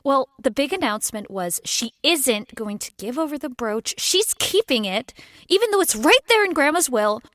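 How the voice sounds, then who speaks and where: An actress playing a flustered, defiant young society daughter in a 1920s period audio drama. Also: female voice, high voice, big pitch swings, normal speed, fairly bright tone, no echo, studio quality. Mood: conspiratorial, resentful. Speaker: actor.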